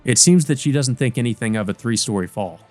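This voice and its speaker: A man's voice: medium pitch, expressive, fast talker, a little mellow, faint music behind, natural voice. A man playing a weary, wounded teenage boy who narrates his own visual novel story in the first person. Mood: grave.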